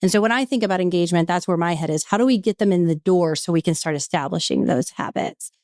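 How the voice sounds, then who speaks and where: A woman speaks as a podcast guest in a smooth, clear voice, explaining things in a composed way. The recording is dry. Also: fairly low pitch, fast talker, a little mellow, no echo. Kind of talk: casual podcast interview.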